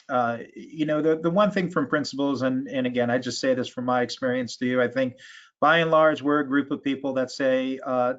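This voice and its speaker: A man answering questions as an association official in an online meeting, speaking in a halting, measured way with false starts. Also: mid-range voice, a bit bright. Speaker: education and school sports association official. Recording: dull, lo-fi video call audio.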